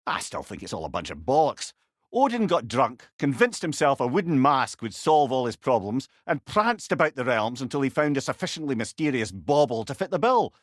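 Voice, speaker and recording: An actor with a Scottish accent playing a wise, witty talking severed head, a loyal older advisor with eloquent, old-fashioned phrasing in a video game. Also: male voice, medium pitch, very animated, brisk, no room sound, clean studio sound. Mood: scornful, indignant. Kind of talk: video game character dialogue.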